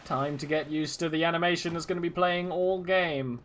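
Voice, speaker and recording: A man's voice: a little high, steady tone, fairly quick, fairly bright tone, a little hiss or hum. A young man streaming his first run of a game, chatting casually and joking at his own expense. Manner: playful, jokey, self-mocking. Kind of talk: casual gameplay commentary.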